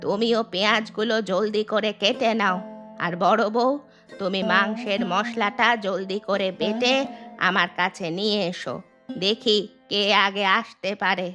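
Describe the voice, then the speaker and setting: A woman acting as an elderly village matriarch in a cartoon tale, speaking slowly over prominent music. She is folksy and plain-spoken, a troubled but shrewd and firm mother-in-law who instructs her household. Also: medium pitch, fairly even intonation, bright, forceful tone. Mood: firm, instructive. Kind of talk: scripted cartoon story acting.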